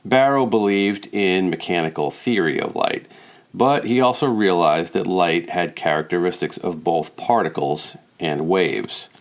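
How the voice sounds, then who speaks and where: Low-pitched man reading nonfiction. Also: unhurried. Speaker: audiobook reader. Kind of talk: scripted narration, nonfiction reading.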